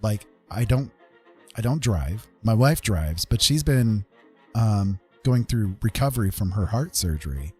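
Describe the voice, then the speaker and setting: A man talking casually and candidly as a livestream host, confiding in listeners in a halting, conversational way. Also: fairly low pitch, animated, husky, soft background music.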